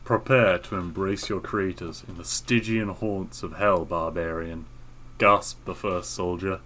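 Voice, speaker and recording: A man's voice: sits low, steady tone, measured pace, bright, forceful tone, slight background noise. A man co-hosting a podcast, chatting casually and reading a story aloud. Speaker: podcast co-host.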